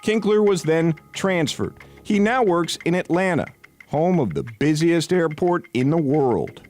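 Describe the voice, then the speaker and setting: A man's voice: a little high, animated, unhurried, darkish tone, slight background noise. An American man narrating a scripted investigative TV news report as its reporter.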